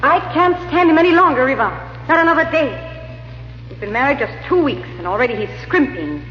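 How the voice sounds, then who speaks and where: Haughty countess, swooping and echoey. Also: female voice, high voice, lively intonation, brisk, a little hiss or hum. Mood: exasperated, disdainful. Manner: haughty, impatient, emphatic. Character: discontented, scheming countess, newly married, disdainful wife. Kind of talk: old-time radio drama acting. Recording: echoey, reverberant, muffled old radio recording.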